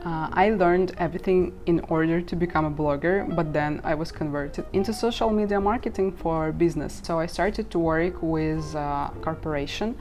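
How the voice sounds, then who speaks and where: A woman's voice: low-pitched, moderate pace, hardly any pauses, darkish tone. A woman in her twenties, from Ukraine and a native Russian speaker, talking candidly to camera about earning money online, laughing at herself now and then. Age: 20s. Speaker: vlogger. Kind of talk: casual talk to camera. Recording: guitar music playing behind.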